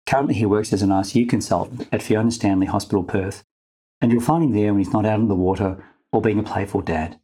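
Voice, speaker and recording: A man with a husky voice hosting a medical podcast, explaining and talking in an informed way. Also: on the low side, steady tone, fast talker, mellow. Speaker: podcast host. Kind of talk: scripted medical narration and interview talk.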